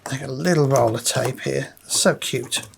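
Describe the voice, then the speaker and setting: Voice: medium pitch, a little slow, vocal fry, a little hiss or hum. A person giving casual hands-on repair commentary, thinking aloud while working at the bench. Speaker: presenter demonstrating equipment repair.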